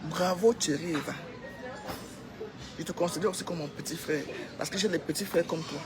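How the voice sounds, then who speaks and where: A woman's voice: on the low side, normal speed, a crowd in the background. A woman with a Cameroonian accent giving an informal, opinionated monologue to followers, exhorting them and repeating phrases for emphasis. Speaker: social media commentator.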